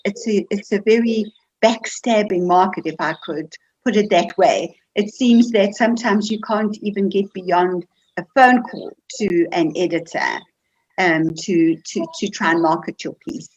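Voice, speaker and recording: A woman taking part in a seminar, casually discussing and asking questions in a halting way, often repeating words. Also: mid-range voice, darkish tone, muffled recording. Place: online video-call seminar.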